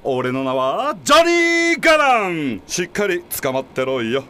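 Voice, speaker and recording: A super high, hammy consultant showman, swoops all over, radio comedy. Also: wildly swooping pitch, a little slow, heavily acted. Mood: cocky, exuberant. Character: flamboyant, showy male labor-rules consultant, peppy lecturer. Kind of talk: comedic radio drama acting.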